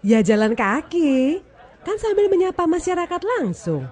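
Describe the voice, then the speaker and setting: A brisk, higher-voiced motherly organiser. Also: very animated, a little mellow, a faint crowd. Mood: brisk, organising. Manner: organising, instructive. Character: brisk, motherly woman leading a community street parade. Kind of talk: radio drama dialogue.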